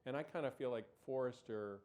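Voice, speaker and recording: Stammering, animated man on a panel. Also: mid-range voice, brisk. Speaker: show creator, panel guest. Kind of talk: panel discussion.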